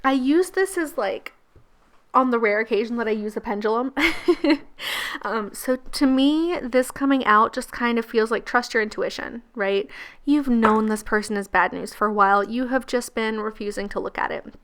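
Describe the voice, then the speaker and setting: A woman with a croaky, gravelly voice giving a casual pick-a-card tarot reading, chatty and laughing often. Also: on the high side, moderate pace, fairly bright tone. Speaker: tarot reader and channel host.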